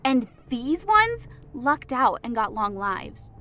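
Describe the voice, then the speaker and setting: A woman's voice: on the high side, very animated, pushed and bright, heavily acted. A woman voicing an impatient, snappish female officer giving reports in an audiobook. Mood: brisk. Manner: brisk, snappish. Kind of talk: audiobook dialogue reading.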